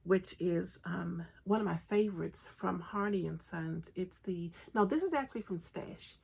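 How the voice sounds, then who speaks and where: Raspy, congested woman podcast host. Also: lowish voice, measured pace, darkish tone. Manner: chatty, enthusiastic, frequent ums. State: raspy, congested voice after illness. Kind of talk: casual solo podcast chat.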